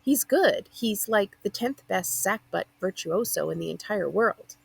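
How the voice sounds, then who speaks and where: A self-conscious new boy at middle school , voiced by a woman reading aloud. He blurts things out wryly, in a raspy, creaky voice suited to a children's audiobook. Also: mid-range voice, lively intonation, a little slow, heavily acted. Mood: rambling, wry. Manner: wry, blurting. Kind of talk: audiobook character dialogue.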